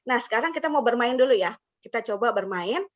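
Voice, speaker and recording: A woman's voice: fairly high pitch, animated, quick pace. Chatty woman presenter on a lo-fi video call. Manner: chatty, mixes English words, laughs often. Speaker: webinar presenter for teachers. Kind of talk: lively online teacher-training talk. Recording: slightly dull lo-fi call audio.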